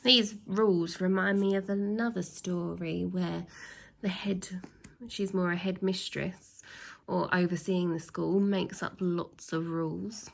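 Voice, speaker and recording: A woman's voice: lowish voice, level tone, measured pace, vocal fry. A woman reading a children's story aloud expressively, speaking directly to young listeners with chatty asides. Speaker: storybook reader, teacher.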